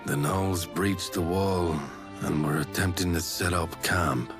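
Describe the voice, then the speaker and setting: A man playing a stern, measured military captain in a fantasy game, formal and authoritative. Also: low-pitched, steady tone. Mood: grave, commanding. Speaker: video game character. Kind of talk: English game cutscene voice acting. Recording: music behind the voice.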